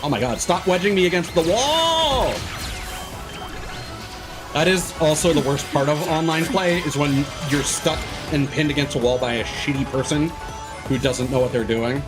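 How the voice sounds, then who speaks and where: A man's voice: a little high, lively intonation, moderate pace. A man giving casual, rambling, sweary commentary as he streams a video game. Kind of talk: casual gaming livestream commentary. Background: game music.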